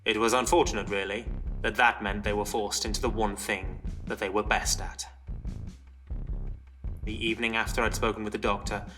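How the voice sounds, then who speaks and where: A man's voice: fairly low pitch, pushed and bright. A man reading a scripted first-person dramatic story as narrator, with music behind him. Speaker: narrator, writer-reader.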